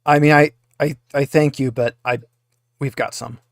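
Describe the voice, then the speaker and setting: A man's voice: medium pitch, steady tone, moderate pace, no echo, clean studio sound, lightly acted. A man voicing a flustered, pleading female android survivor who speaks hesitantly and repeats words. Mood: reluctant, pleading.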